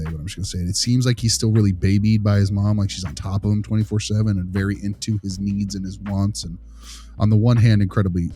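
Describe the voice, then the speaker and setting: A man talking through a case as a panelist, casually and with strong opinions, in an emphatic, animated way with rhetorical check-ins. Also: fairly low pitch. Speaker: panelist discussing a behavioral case study.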